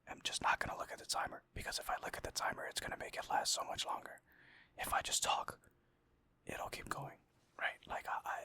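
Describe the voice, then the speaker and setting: A young man whispering close to the mic in a rambling, casual ASMR chat over gameplay. He mocks himself and swears now and then. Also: bright, forceful tone. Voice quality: breathy whisper. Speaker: ASMR gaming creator.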